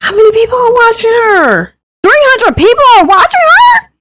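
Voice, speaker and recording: A woman voicing an excitable, incredulous teenage girl who exclaims questions to herself in a story reading. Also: very high voice, moderate pace, background noise, pitched above the performer's own voice. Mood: astonished.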